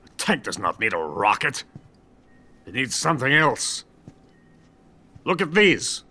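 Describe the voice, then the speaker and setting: An actor playing a bitter, boastful older Soviet weapons scientist who is drunk and rambles theatrically in a video game. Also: male voice, medium pitch, big pitch swings, measured pace, pushed and bright, husky, heavily acted. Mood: grandiose enthusiasm. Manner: grandiose, self-pitying, theatrical rambling. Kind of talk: dramatic video game voice acting.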